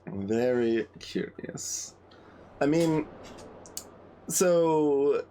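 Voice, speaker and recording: A man's voice: mid-range voice, darkish tone, a little hiss or hum. A man giving casual commentary on a game playthrough, musing and thinking aloud as he goes.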